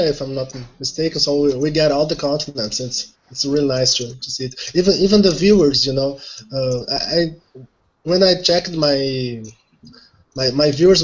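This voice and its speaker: A man from Brazil with a Brazilian Portuguese accent, a Portuguese native speaker, chatting on a group call and pausing to search for words. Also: on the high side. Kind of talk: casual group chat. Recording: dull lo-fi call audio.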